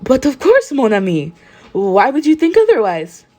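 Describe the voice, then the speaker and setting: A woman's voice: high voice, huge pitch swings, moderate pace, dark tone, pitched above the performer's own voice, heavily acted. A woman voicing a flamboyant French teenage boy in a story, airy and theatrical.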